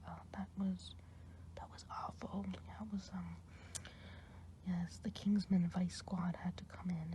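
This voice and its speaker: Low, mellow whispers from a good forest witch who chats and gossips gently while she tends to you, as a woman acts her out. The voice sits a little deep for a woman and sounds soft and warm. She rambles in a kindly, homely way, like an ASMR roleplay healer. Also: natural voice. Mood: fond. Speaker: ASMR artist.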